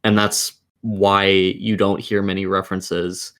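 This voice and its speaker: A man with a fairly low voice talks casually as a podcast co-host. He lays out his opinions in an explanatory way, with some offhand swearing. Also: little pitch movement, unhurried, fairly bright tone. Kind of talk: casual gaming podcast discussion.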